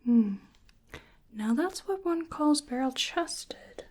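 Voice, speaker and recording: A woman speaking in a breathy, whispery soft voice, role-playing a medical examiner in an ASMR style, gentle and hesitant as she murmurs to herself. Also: high voice, lively intonation, slow.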